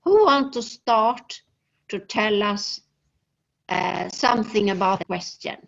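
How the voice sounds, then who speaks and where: A woman's voice: medium pitch, slow, creaky. A woman with a Swedish accent moderating an online seminar over a video call, hesitant and full of filler sounds. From Sweden. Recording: dull lo-fi video call audio.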